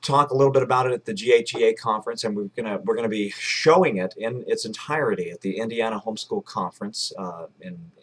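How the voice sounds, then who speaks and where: Male, 20s, somewhat low register, casual and wordy film-director host. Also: fast, few pauses. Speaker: film director hosting a video blog. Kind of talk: casual interview and promotional chat. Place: furnished room at home, indoors.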